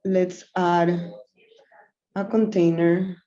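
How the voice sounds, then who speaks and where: A woman's voice: lowish voice, little pitch movement, drawn-out words, dark tone. A woman in her thirties from Venezuela giving a live screen-share design tutorial, easygoing, with many ums and self-corrections. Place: small office room, indoors. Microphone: laptop webcam mic on a video call. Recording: roomy, dull lo-fi call audio.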